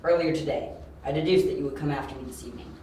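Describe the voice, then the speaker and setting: Young detective, male actor: analytical, cocky, dryly clipped, fairly high voice, amateur audio drama, distant from mic. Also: steady tone, racing, mellow, close to an everyday voice. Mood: dry, defiant. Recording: very echoey, distant, with faint hiss.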